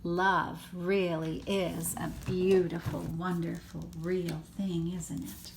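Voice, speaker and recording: Slow-paced older woman reading to children. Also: fairly low pitch, flat delivery, gravelly, a little hiss or hum, poor audio. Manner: child-directed, dramatic emphasis, gasps and hushed asides. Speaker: grandmother reading a storybook. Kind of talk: expressive children's read-aloud with chatty asides.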